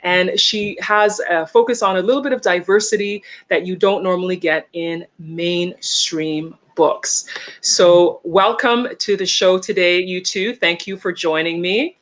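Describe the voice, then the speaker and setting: Bright-voiced woman hosting a live interview. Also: lowish voice, steady tone, a little slow. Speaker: podcast host.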